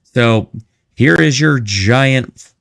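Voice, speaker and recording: A man's voice: mid-range voice, drawn-out words, vocal fry, a bit bright, no echo, clean studio sound. A man hosting a live stream with casual, joking commentary and asides to his chat.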